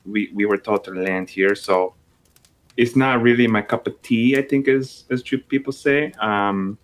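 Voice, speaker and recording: A man's voice: fairly low pitch, fairly even intonation, a little slow, close to an everyday voice. A man playing a vain, tipsy female actress with a put-on Colombian Spanish accent in an improvised comedy bit. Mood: haughty tipsy. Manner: haughty, blunt, rambling.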